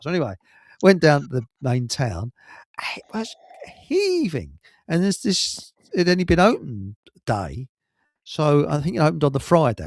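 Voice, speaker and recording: An English man with a fairly high, very animated voice, recorded close and dry. He hosts casual radio talk, wandering through everyday stories with plenty of colloquial asides and a gravelly creak. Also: dry recording. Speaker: radio show host. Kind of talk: casual rambling chat.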